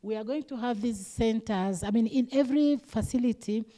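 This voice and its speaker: A woman's voice: on the high side, normal speed, mellow. A woman from Tanzania speaking as a conference panelist, hesitant and often repeating words. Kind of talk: panel discussion remarks.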